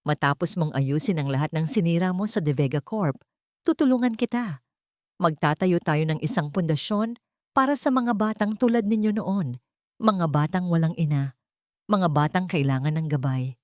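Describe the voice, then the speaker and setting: Woman voicing a firm, gentle wronged mother, lowish voice. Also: animated, no room sound, clean studio sound, lightly acted. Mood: firm, forgiving. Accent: Filipino. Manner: measured, solemn, soft-spoken.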